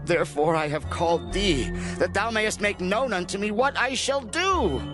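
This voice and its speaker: High-voiced, distressed, pleading king. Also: animated, normal speed. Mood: anguished. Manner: solemn, emphatic, pleading delivery. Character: desperate, distressed king of Israel pleading for guidance. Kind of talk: dramatized scripture acting in archaic English. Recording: music under the voice.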